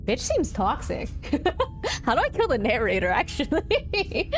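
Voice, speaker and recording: Playful, high-pitched woman streaming a game. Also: wildly swooping pitch, a little slow. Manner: playful, slangy, thinking aloud. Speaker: livestreamer playing a game. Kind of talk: casual gaming stream chat, reading choices aloud. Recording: stream with game music behind.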